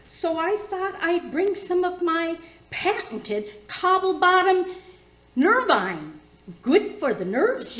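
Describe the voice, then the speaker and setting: A chirpy, nosy older neighbour lady in a comic radio play. Her voice is high and swoops up and down in a folksy sing-song. She gushes slyly at a very slow pace, in a roomy, echoing space. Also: a bit bright, heavily acted. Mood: chirpy, calling out.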